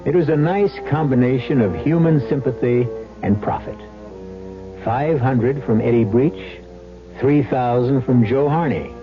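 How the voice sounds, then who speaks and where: A man hosting an old-time radio suspense drama, delivering scripted narration. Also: medium pitch. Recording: muffled old radio broadcast. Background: music.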